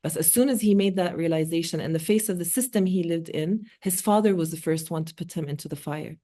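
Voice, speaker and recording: A woman in her forties, a native Arabic speaker, talking earnestly in an interview with measured pauses and fillers. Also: fairly low pitch, mellow. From born in Oman, Palestinian. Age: 40s. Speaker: guest speaker, multimedia artist. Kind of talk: reflective interview talk.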